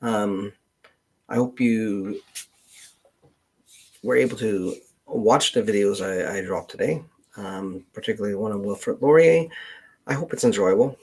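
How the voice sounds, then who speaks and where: A man chats casually as the host of a live stream. His voice sits on the low side, husky and heavy with creaky fry. He talks off the cuff, halting and hesitating as he puts his thoughts together. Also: darkish tone, poor audio. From Canada.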